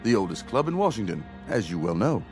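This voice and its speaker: An actor in a radio play voicing a polite, proper club attendant, formal and deferential. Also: male voice, on the low side, big pitch swings, brisk, darkish tone. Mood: courteous. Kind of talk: dramatic radio play acting. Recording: music bed behind the voice.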